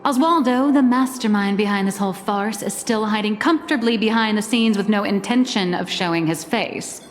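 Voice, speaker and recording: A woman's voice: mid-range voice, normal speed, long reverb tail, faint music behind, natural voice. A female actor playing a cool, sardonic, commanding schemer in a video game, with a dry, teasing manner. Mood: dry, brisk.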